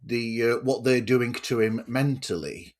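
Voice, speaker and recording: A man's voice: mid-range voice, fairly even intonation, unhurried. A man hosting a podcast interview in a casual way, searching a little for his words. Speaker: podcast host interviewing a guest.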